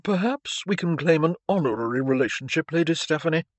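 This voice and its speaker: A narrator voicing a reserved, formal gentleman, a father of teenagers, in an audiobook, speaking in a measured way. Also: mid-range voice, lively intonation, no echo, close to an everyday voice. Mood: cautiously warm. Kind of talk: audiobook character dialogue.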